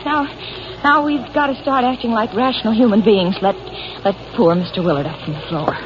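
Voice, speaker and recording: An actress playing an overwrought, fragile married woman passenger in an old-time radio drama, halting and melodramatic. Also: fairly high pitch, noisy background, lightly acted. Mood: shaken, apologetic. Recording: muffled old radio broadcast with music.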